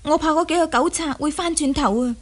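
An actress with a Hong Kong Cantonese accent playing a devoted, anxious young martial arts heroine in a radio drama. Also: female voice, sits high, a little fast, very noisy, lightly acted. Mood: worried.

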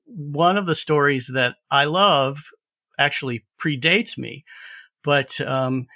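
A man's voice: a little high, slow pace, pushed and bright, no echo, studio quality. A man talking casually as a guest in a podcast conversation.

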